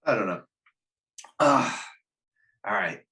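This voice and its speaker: A man in an animated, casual podcast chat, ranting emphatically and swearing freely. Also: lowish voice, slow. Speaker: podcast panelist ranking video game movies.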